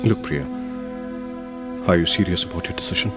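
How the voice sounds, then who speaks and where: A man's voice: deep voice, fairly quick, in a large hall, music in the background, natural voice. An actor with an Indian English accent playing a hesitant, emotional young man in an audio drama. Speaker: young man in a radio play.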